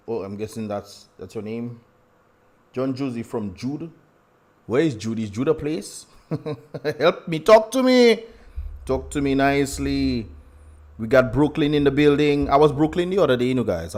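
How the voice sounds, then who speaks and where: A man's voice: mid-range voice, expressive, normal speed, a little mellow. A young man with a Dominican accent hosting a casual livestream, chatty and laughing often as he greets viewers. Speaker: livestream host.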